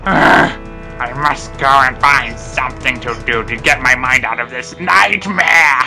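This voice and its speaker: A man's voice: medium pitch, a little slow, sharp tone, faint music behind. A man voicing a moody old ape sorcerer in an amateur cartoon parody, rambling theatrically and bossing others around. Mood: grumbling, dramatic. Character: cranky, moody old male ape who dabbles in magic.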